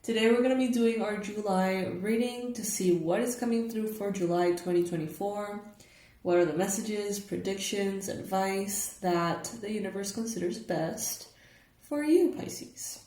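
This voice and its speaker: A young woman hosting her own tarot channel, her voice raspy and hoarse from just waking up, chatting casually with fillers and soft laughs. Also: mid-range voice, a little slow. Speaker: tarot reader, channel host. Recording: echoey room, faint noise.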